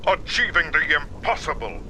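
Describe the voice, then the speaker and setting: An actor playing a stern, commanding Klingon chancellor in a video game, grave and dramatic, with faint noise behind. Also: male voice, fairly high pitch, very animated, normal speed, pushed and bright, full character voice.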